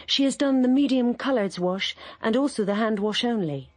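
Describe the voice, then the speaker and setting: A woman narrating a radio drama in a measured, even storytelling manner. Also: on the high side, no echo.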